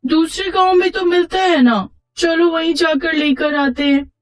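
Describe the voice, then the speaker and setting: A woman's voice: very high-pitched, unhurried, voice pushed up. A woman voicing an eager, cheeky young girl who pleads and teases in a children's moral story. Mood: pleading. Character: eager, teasing young girl craving treats.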